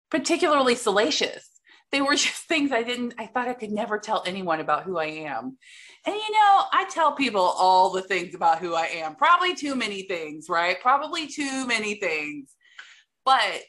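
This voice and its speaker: A woman's voice: on the high side, a bit bright. A woman with a big voice, sharing openly and bluntly in a support group over a video call, swearing freely. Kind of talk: candid personal share in a group meeting.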